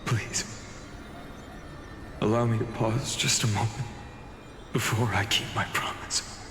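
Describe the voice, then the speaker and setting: Earnest young game hero: lowish, breathy and solemn, very measured, in a reverberant hall over music. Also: male voice, steady tone. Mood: grieving resolve. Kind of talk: dramatic game voice acting.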